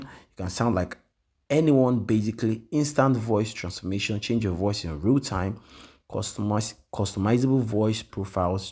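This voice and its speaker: A man giving a software tutorial, often checking "okay?" and filling pauses with "um". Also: low voice, husky. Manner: repetitive, says "okay?" often, many "um"s. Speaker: tutorial host. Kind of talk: screen-recorded software walkthrough.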